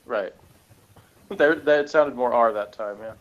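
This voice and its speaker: A man chatting casually as a podcast reviewer, explaining things in a halting, rambling way and often correcting himself. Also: medium pitch, muffled recording.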